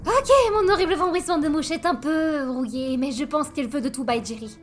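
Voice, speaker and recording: A woman voicing an eager, adoring young spider-woman sidekick in an animated fan dub, with a gushing, excitable way of talking. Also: high-pitched, brisk, over music, natural voice. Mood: bubbly.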